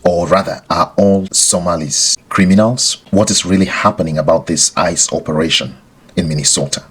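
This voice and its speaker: Very deep-voiced man, deliberate podcast host. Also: steady tone, a bit bright, slightly rough. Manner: deliberate, rhetorical questions, repeats phrases for emphasis. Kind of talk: scripted explanatory commentary to listeners.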